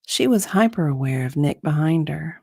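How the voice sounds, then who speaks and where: A woman's voice: low voice, expressive, moderate pace, creaky, darkish tone, slightly rough, no room sound, studio quality. A woman narrating a romance story as a dramatic reading, in a sultry, suspenseful manner.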